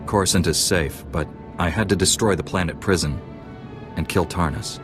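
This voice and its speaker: A man voices a young heroic knight in a sci-fi game. His voice is notably low, calm and earnest, with music clearly audible behind. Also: normal speed, natural voice. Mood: earnest, serious. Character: earnest, steady young male Jedi knight. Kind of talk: video game character acting.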